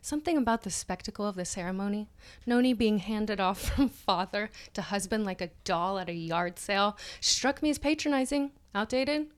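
A woman podcast co-host giving a dramatic reading of an article, breaking into laughs and quick asides. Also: mid-range voice, animated, clear, resonant tone.

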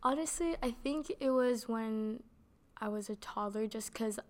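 A woman's voice: on the high side, unhurried. A young woman giving casual interview answers in a chatty way, with lots of "like" fillers. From Canada. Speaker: interviewee, actor.

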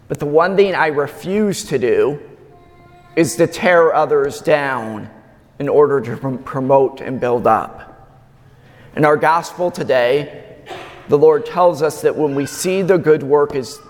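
Slow-preaching man, slightly raspy. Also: a little high. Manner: slow, measured preaching with emphatic pauses. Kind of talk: sermon. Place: large church. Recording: long church reverb.

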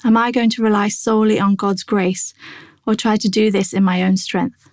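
A woman reading a scripted devotional in a measured, reflective manner. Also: medium pitch, fairly even intonation, darkish tone, dry recording, studio quality. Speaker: devotional reader.

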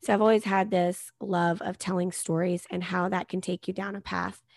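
A soft-spoken woman in her thirties with a lowish voice. She talks casually and warmly about her life as a new author. The sound is close and dry. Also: little pitch movement, moderate pace, vocal fry, no echo. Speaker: guest author. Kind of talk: casual interview chat.

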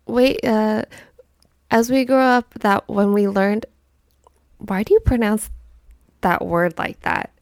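A young woman co-hosting a casual chat podcast, whose first language is Chinese, telling stories in a chatty, animated way. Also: medium pitch, takes it slowly, long pauses, dry recording, studio quality. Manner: chatty, animated storytelling with laughs and restarts. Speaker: podcast co-host.

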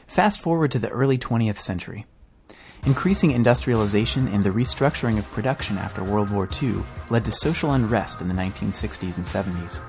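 A man's voice: fairly low pitch, expressive, brisk, a little mellow. A man reading scripted documentary-style narration for a podcast. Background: music.

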